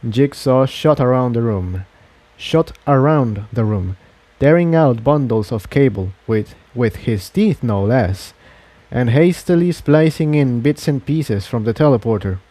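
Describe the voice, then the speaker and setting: Mellow man narrating an adventure story. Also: mid-range voice, expressive, unhurried, dry recording. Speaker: fan fiction reader.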